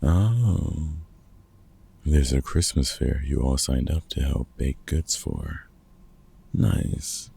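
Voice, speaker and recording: A man playing a gruff, wry older vampire hunter in an ASMR roleplay, a fatherly mentor with a very gravelly, husky voice and dry, teasing delivery. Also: rumbling low, animated, slow, long pauses, warm tone, heavily acted. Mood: amused, teasing. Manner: dry, teasing, hesitant with unfamiliar slang. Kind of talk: ASMR roleplay audio drama, one-sided conversation.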